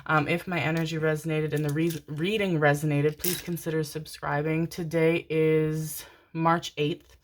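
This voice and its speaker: A woman's voice: sits low, flat delivery, normal speed, slight background noise. A young woman doing a casual tarot reading to camera, chatty and rambling, full of fillers and affectionate pet names. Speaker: tarot reader.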